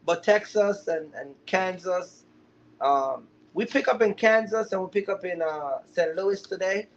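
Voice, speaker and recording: High-voiced man, casual livestream host. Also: a little slow, slight background noise. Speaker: livestream host, trucking business mentor. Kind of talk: casual live chat to viewers. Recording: dull, lo-fi live stream audio.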